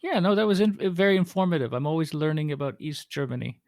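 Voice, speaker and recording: Gravelly Canadian man chatting. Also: a little high, animated, fast, darkish tone, no room sound, clean studio sound. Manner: curious, chatty, thinks aloud. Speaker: co-host. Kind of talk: casual conversational chat.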